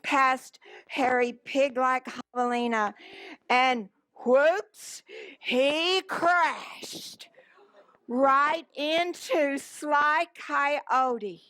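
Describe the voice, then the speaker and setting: Female children's story time reader: high-pitched, very unhurried, theatrical emphasis, dry recording. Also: a bit bright, no echo, poor audio. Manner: very slow, drawn-out, dramatic emphasis, asks listeners questions. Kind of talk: animated picture-book read-aloud.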